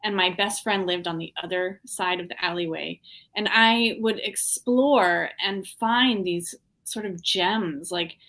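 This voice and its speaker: A woman from the Washington, D.C. area, an artist guest in a casual interview, talking reflectively with many ums and pauses. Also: lowish voice, fairly bright tone. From Washington, D.C. area, USA.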